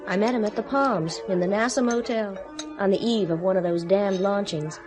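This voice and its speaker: A woman's voice: medium pitch, moderate pace, over music, natural voice. A woman playing the weary, sarcastic wife of an astronaut in a 1970s radio drama. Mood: bitter. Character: bitter lonely wife of an astronaut.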